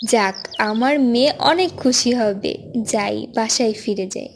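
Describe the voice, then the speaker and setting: A woman's voice: a little high, normal speed, a little hiss or hum, close to an everyday voice. A woman playing a devoted, anxious village mother in a cartoon fairy tale, plaintive yet determined. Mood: weary, hopeful. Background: music.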